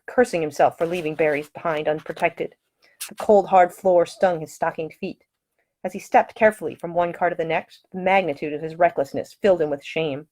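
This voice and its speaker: A woman's voice: lowish voice, dark tone. A woman reading her own novel aloud as scripted audiobook narration.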